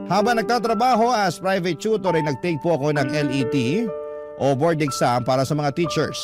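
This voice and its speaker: Male radio storyteller, slightly high-set voice, measured letter reading in Tagalog, loud music bed. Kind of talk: radio-style scripted love-story narration. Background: prominent music.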